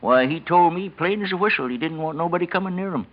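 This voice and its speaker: A folksy night elevator man played by a male actor, gossipy and eager, talks in a hesitant, colloquial way with a fairly high male voice. The 1940s radio drama recording is dull with some noise. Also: fast, close to an everyday voice. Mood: folksy, wary.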